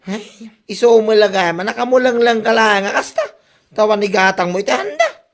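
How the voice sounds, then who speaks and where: A man's voice: sits high, very animated, normal speed, a little hiss or hum. A man with an Ilocano accent playing an exasperated husband who scolds and complains at his spendthrift wife in a comedy drama. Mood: scolding. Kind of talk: comedy radio drama acting. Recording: music behind the voice.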